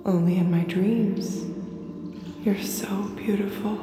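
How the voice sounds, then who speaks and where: An actress as a slow, dreamy, alluring stranger. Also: female voice, mid-range voice, fairly even intonation, lightly acted. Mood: dreamy, flirtatious. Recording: long echoey reverb, music behind.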